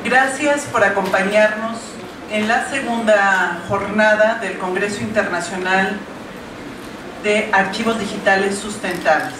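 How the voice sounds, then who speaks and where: A woman at a conference podium reads a formal introduction in a measured, mid-pitched voice. The sound comes from some distance in an echoey room, with steady noise behind it. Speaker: conference host introducing the speaker. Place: large conference hall. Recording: echoey hall from far off, noisy.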